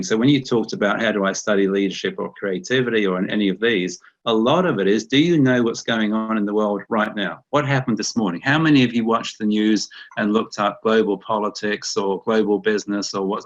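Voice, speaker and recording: A middle-aged New Zealand man with a low voice gives a loose, conversational webinar talk, circling back and repeating himself as he goes. The audio is muffled and dull, with the lo-fi sound of an online call. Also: brisk, few pauses. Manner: conversational, repeats words when restarting. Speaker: guest speaker.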